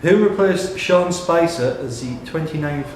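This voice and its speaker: A man's voice: fairly high pitch, a little slow, a little mellow. A man reading out questions to players as host of a live pub quiz. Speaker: quiz reader. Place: indoors in a pub. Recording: very echoey room, distant mic, background noise.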